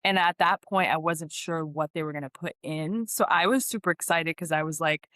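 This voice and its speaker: A woman's voice: deep voice, brisk, fairly bright tone, dry recording, studio quality. A woman speaking as an actress guest in a casual podcast interview, often saying "like" and quoting her own reactions.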